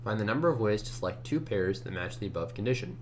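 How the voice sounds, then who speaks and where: A man with a low, just-woke-up voice talks through his code in a casual way. He mutters to himself and trails off mid-thought, with a clear hum and keyboard typing behind him. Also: lively intonation, quick pace. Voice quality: low morning voice. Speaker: competitive programmer narrating a screencast. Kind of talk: casual think-aloud commentary while coding. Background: computer keyboard typing, hum.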